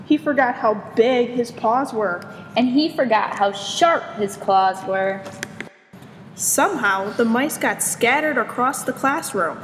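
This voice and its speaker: A woman reading a children's storybook aloud in an expressive narrating style. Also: a little high, slow pace. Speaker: storybook reader. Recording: echoey reverberant room, faint noise.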